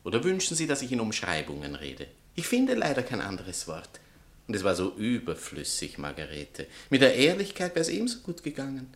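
An actor playing a vain, sardonic bohemian writer and spurned lover, pompous and mocking, in a satirical radio play. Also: male voice, medium pitch, huge pitch swings, fairly quick. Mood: reproachful. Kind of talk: theatrical literary satire dialogue.

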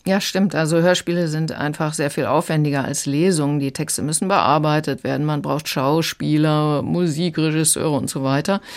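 Female reviewer with a deep voice, explaining books in measured radio talk. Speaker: audiobook reviewer.

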